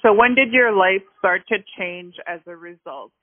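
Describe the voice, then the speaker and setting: A woman's voice: mid-range voice, normal speed, no room sound. Lady interviewer on a phone call, casual and friendly, lots of ums, thin phone sound. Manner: friendly, informal, with fillers. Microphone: telephone. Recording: tinny phone line.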